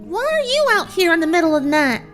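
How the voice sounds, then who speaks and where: A woman's voice: very high voice, big pitch swings, fast, fairly bright tone, faint music behind, voice pushed up, heavily acted. A woman voicing a nervous, spunky young farm filly with a rural drawl, played from a pony fanfic reading.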